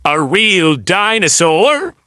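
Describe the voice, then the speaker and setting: Casting: female reader as a calm, quizzical dad, lower-toned, very unhurried delivery, bright edge. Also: dry recording, studio quality, full character voice. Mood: mild disbelief. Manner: slow, puzzled, gently probing. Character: calm, questioning father of a small girl. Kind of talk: children's storybook read-aloud.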